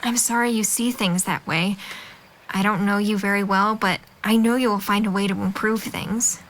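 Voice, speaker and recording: A woman's voice: mid-range voice, steady tone, normal speed, a bit bright, lightly acted. A woman acting a kind, encouraging young friend in an audio drama, speaking with patient, sincere reassurance. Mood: concerned.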